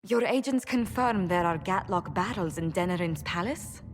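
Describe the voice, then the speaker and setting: A woman's voice: fairly low pitch, moderate pace, lightly acted. Reverberant, poised diplomat played by a woman. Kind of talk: dramatic video game acting. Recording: reverberant hall, clear background noise.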